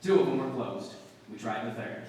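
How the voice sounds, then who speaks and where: A man playing a terse, dry, matter-of-fact police sergeant in 1950s radio drama style, with clipped deadpan delivery. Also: mid-range voice, moderate pace, natural voice. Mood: flat, official. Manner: clipped, deadpan questioning and reporting. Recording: very echoey hall, distant mic, music.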